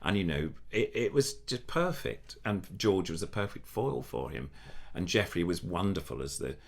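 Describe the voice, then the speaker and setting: A man's voice: low-pitched, animated, normal speed, gravelly. An older man with a nasal voice, a puppeteer chatting in an interview, enthusiastic and rambling with many restarts. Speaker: puppeteer guest. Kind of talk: casual interview chat.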